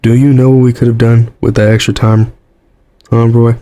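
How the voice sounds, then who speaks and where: An actor playing a weary, guilt-ridden American president in an audio drama, grave and resolute, with an American accent. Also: male voice, medium pitch, level tone, normal speed, warm tone, natural voice. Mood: regretful, bitter.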